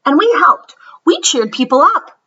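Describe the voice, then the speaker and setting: A woman's voice: high voice, sing-song, unhurried, bright, forceful tone, lo-fi sound, pitched above the performer's own voice, heavily acted. A woman voicing an earnest, eager little girl in a children's storybook, with emphatic delivery. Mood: eager, cheerful.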